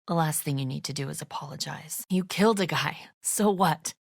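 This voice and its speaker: A woman's voice: sits low, a little fast, gravelly, slightly rough, no room sound, clean studio sound, full character voice. A woman voicing a tense, suspicious and defensive leader of zombie apocalypse survivors in a video game, speaking bluntly with biting sarcasm. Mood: bitter, subdued.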